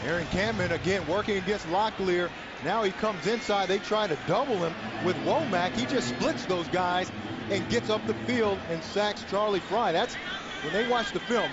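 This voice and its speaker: A man giving color commentary on a football game, breaking down plays in a plain, emphatic way. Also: high-pitched. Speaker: color commentator, former player. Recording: muffled broadcast audio with loud noise.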